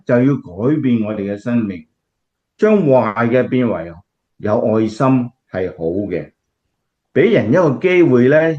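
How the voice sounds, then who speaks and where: A man's voice: fairly low pitch, a little mellow. Man playing an earnest Cantonese preacher, slightly muffled. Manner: explanatory, earnest, emphatic. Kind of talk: radio drama acting, sermon-like.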